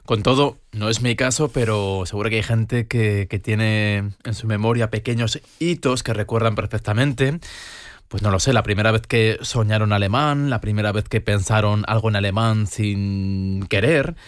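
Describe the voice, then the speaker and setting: A man hosting a radio talk show, with a smooth presenter delivery that moves into brief replies while he listens. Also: fairly low pitch, normal speed, no echo, clean studio sound. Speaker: radio host.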